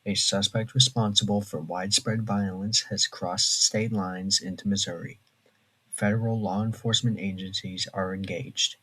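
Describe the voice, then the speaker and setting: Impersonal male alert-system voice acted by a man, formal broadcast read, forceful and rough. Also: on the low side, fairly even intonation, normal speed, rough recording. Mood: stern. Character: impersonal official emergency alert system announcer. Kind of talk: scripted emergency broadcast announcement.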